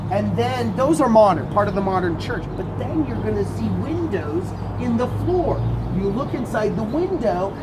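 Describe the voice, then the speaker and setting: Guy tour guide with a big booming voice, chatty and animated, traffic noise all around outside. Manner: animated, conversational explaining to a group. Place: outdoors at a garden site. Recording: outdoor street noise.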